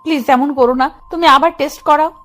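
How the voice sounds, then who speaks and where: A woman's voice: high voice, fairly even intonation, brisk, fairly bright tone. A woman playing a hurt, devoted young wife and mother in a dramatised audio love story. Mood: pleading. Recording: music under the voice.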